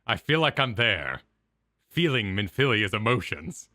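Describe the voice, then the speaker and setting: A man's voice: fairly low pitch, sing-song, measured pace, pushed and bright, no echo, clean studio sound. A man co-hosting a gaming stream in casual, joking chat as he reacts to game cutscenes. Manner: joking, informal.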